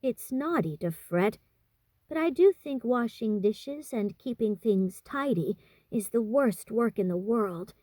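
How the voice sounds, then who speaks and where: A woman voicing a gentle young girl, a storybook peacemaker, with a soft, soothing manner. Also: fairly high pitch, expressive, takes it slowly, no room sound. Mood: mildly fretful.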